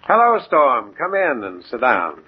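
An actor playing a cool, confident gang boss who runs a club, in a 1940s radio drama. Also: male voice, medium pitch, wildly swooping pitch, a little slow, slight background noise. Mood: cool, doubtful. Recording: muffled 1940s radio recording.

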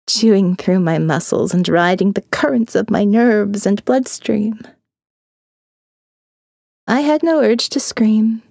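A woman narrating a first-person horror audiobook, slow and hushed, often dropping to a whisper. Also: medium pitch, dry recording, clean studio sound.

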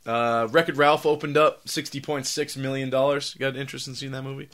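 Man, hoarse, rapid, bright edgy voice, rambling profane podcast chat. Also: mid-range voice, little pitch movement. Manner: loose, profane, rambling with many fillers. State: hoarse, recovering from laryngitis. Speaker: podcast host.

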